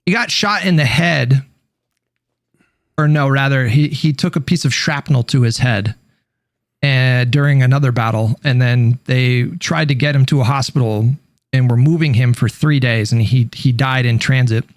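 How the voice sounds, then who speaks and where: A man telling a story casually in a halting, informal way with some swearing, at times reading aloud. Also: mid-range voice, no echo.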